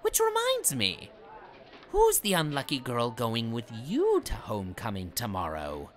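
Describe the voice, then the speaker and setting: A man's voice: mid-range voice, wildly swooping pitch, measured pace. A young man playing a theatrical, mocking teenage villain in an audio drama, sneering and sarcastic.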